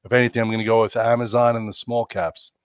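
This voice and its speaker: A man's voice: fairly low pitch, level tone, fast talker, no room sound, studio quality. A man, congested and losing his voice, hosting a chatty, rambling live stock market show with lots of fillers. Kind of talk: casual live market commentary.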